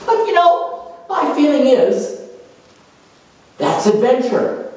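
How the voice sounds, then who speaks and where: Man acting out an excitable, brash, high-pitched adventurer, echoey room. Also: takes it slowly, heavily acted. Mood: exuberant, defiant. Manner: excitable, brash, rambling and unapologetic. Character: exuberant, reckless, boastful free-spirited adventurer who never grows up. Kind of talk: solo dramatized monologue. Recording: echoey room, mic at a distance.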